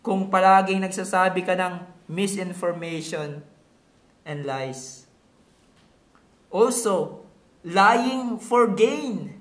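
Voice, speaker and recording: A man's voice: sits high, drawn-out words, pauses often, a bit bright. A man with a Filipino accent preaching a sermon in a didactic way, using rhetorical questions and repeating key words for emphasis. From Philippines. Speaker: pastor. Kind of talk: sermon mixing Tagalog and English. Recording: echoey room.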